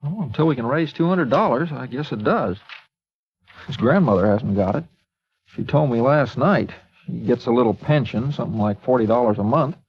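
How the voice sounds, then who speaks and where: An actor playing an earnest small-town newspaper editor, pleading and indignant, in 1940s radio acting. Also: male voice, medium pitch, animated, normal speed, a little hiss or hum, natural voice. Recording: muffled old radio recording.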